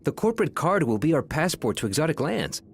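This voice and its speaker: An actor playing a flamboyant, grandiose Brazilian creative director in a comedy audio drama, pompous and theatrical. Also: male voice, on the high side, sing-song, a little fast, gravelly, slight background noise. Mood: gleeful, grand.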